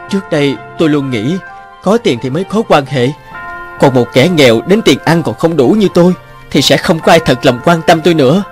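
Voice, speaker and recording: A man's voice: fairly high pitch, natural voice. A man playing a proud, guarded young garage worker fallen on hard times in a cartoon. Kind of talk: cartoon dubbing. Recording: music behind the voice.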